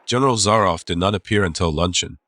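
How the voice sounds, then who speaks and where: A man narrating a dramatized audiobook as a storyteller, measured and suspenseful. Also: low-pitched, fairly bright tone, slight background noise.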